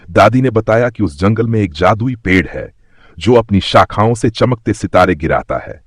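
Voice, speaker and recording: A man's voice: lowish voice, husky, dry recording, clean studio sound. A man narrating a scripted moral story for children as a storyteller.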